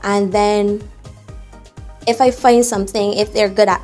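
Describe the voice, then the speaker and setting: A woman's voice: mid-range voice, measured pace, soft background music. A woman singer with a Barbadian accent, chatting casually in an interview and telling stories with a laugh. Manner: lively, laughing storyteller.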